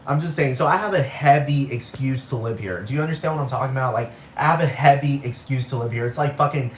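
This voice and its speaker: A man's voice: medium pitch, fast talker, slight background noise. Rambling Puerto Rican man ranting solo. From Puerto Rico, raised in Texas. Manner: rambling, profane, keeps asking "do you understand". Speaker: podcast host. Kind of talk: solo podcast rant. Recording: roomy room with faint ambience.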